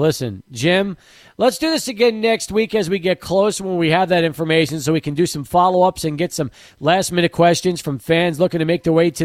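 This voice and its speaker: A man hosting a sports radio show, energetic, setting up segments and asking guests questions. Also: on the high side, no room sound, studio quality.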